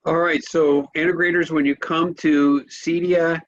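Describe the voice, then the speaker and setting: A man's voice: a little high, flat delivery, unhurried. Male interviewer, dull lo-fi sound. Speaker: trade press interviewer and host. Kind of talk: structured interview hosting.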